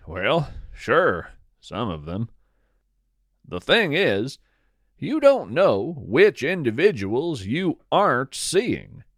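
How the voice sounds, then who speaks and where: A man narrating a scripted, whimsical nature story in a measured, dramatic storytelling manner with deliberate pauses. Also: medium pitch, no echo, clean studio sound. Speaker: podcast host and narrator.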